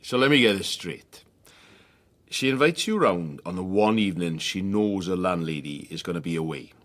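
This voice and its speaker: Sardonic, exasperated male pal for radio drama: lowish voice, very animated, blunt mockery. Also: moderate pace. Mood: incredulous. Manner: sardonic, emphatic.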